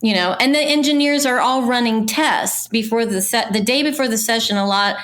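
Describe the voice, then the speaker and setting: A woman talking casually about her industry in an animated, conversational way. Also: on the high side, fairly quick. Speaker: voice casting professional.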